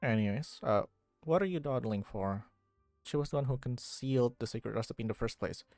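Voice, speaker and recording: A woman's voice: very deep voice, lively intonation, fairly quick, darkish tone, no room sound. A woman streaming a video game, commenting and reading dialogue aloud over game music.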